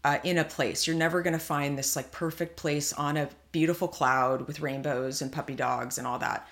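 A woman from California with a low voice talks through her thoughts in a relaxed podcast chat. She rambles, circling back with plenty of fillers and half-started sentences. The tone stays conversational and easygoing throughout. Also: normal speed. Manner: rambling, thinks aloud with many fillers. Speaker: podcast co-host. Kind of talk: casual chat.

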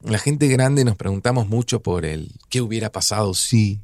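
A man playing an older Argentine father in an audio drama, speaking in a gentle, wistful, reflective way. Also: lowish voice, moderate pace, close to an everyday voice.

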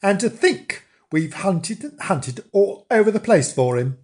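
A man voicing a brisk, easily exasperated dog with a scolding manner in a storybook. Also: a little high, sing-song. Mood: cross. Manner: scolding, exclamatory.

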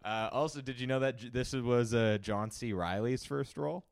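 A man's voice: mid-range voice, normal speed, gravelly, smooth, dry recording, studio quality. A young man co-hosting a casual movie podcast, joking and opinionated, laughing often. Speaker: podcast co-host. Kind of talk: casual movie-review chat.

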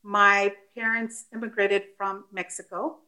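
An effusive woman in her fifties, a native Spanish speaker from the United States, with a voice of middling pitch. She talks with feeling and animation. Her tone is candid as she chats casually with her interviewers. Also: unhurried, low-quality recording. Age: 50s. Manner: effusive, openly emotional, animated. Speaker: podcast guest, retired police officer.

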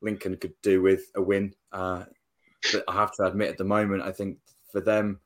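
A man's voice: low-pitched, level tone, moderate pace. A man who is a guest on a football podcast, thinking aloud and hedging his views with fillers. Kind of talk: casual football podcast chat.

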